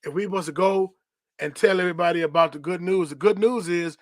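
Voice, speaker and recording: Man, podcast host, preachy teaching, repeats himself, slightly high voice, dry close sound. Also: brisk, dry recording. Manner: preachy, repetitive, rhetorical "right?" tags; reads dictionary definitions aloud.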